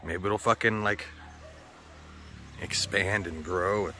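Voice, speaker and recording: A man's voice: deep voice, fairly even intonation, slow, pushed and bright, a little hiss or hum. An American man with a slightly sore throat, rambling casually and swearing often as he chats for a vlog. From United States. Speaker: vlogger. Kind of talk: casual chat.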